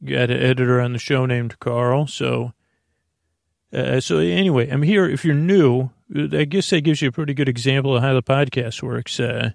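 A man hosting a sleep podcast, talking in a soft, lulling, creaky voice that wanders off on tangents, full of filler words and pauses. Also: mid-range voice, steady tone, no room sound, clean studio sound. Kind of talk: meandering bedtime monologue.

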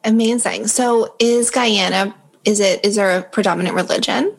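A woman's voice: medium pitch, fairly even intonation, moderate pace, vocal fry. A woman hosting a podcast, moving between a scripted introduction and interview questions.

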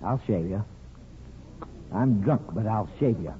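An actor playing a bitter, drunken, grieving small-town barber, combative and taunting, in a 1950s radio drama. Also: male voice, lowish voice, expressive, unhurried. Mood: sullen, drunk. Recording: tinny, band-limited 1950s radio broadcast.

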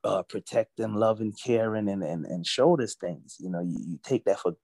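A man in his forties from Jamaica, raised in Brooklyn, chatting as an interview guest, relaxed and full of "you know.". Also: on the low side, normal speed, no echo, clean studio sound. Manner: relaxed, repeats himself, many "you know"s. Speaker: guest musician. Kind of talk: casual interview talk.

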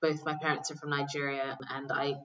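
A woman answers interview questions in a calm, earnest way, her low voice staying level with a bright edge to it. She sounds a little distant from the microphone. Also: a little fast, lo-fi sound. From United Kingdom. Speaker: interview guest.

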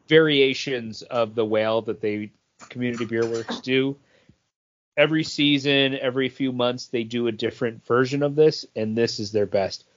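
A dull, lo-fi recording carries an American man's voice at an ordinary pitch. He chats casually, like one co-host among friends on a podcast. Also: a little hiss or hum. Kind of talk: casual chat.

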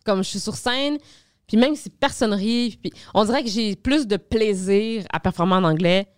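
A stand-up comedian from Montreal with a Québécois French accent, telling stories in a lively, casual podcast chat full of swearing and fillers. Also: female voice, mid-range voice, normal speed, no echo, clean studio sound. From Montreal, Quebec.

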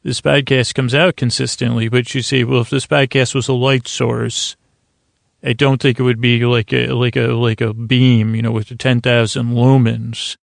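A man hosting a podcast, with a creaky, slightly smoky voice, rambling through a soothing bedtime monologue in a meandering, halting, self-deprecating way. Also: mid-range voice, level tone, no echo, studio quality. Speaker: podcast host.

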